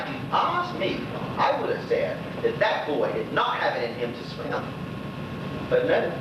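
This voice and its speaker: An actor playing a lonely, gossipy old widower in a stage monologue, with a Bermudian accent and a rambling, exclaiming way of talking to unseen listeners. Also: male voice, extremely high pitch. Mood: excited gossip. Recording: very echoey stage, distant mic, noisy, dull.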